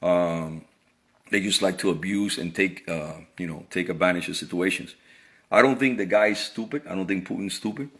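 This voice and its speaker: Middle-aged man from Cuba, deep voice; earnest off-the-cuff opinion piece, restarts phrases. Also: normal speed, fairly bright tone. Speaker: vlogger. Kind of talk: casual opinion monologue.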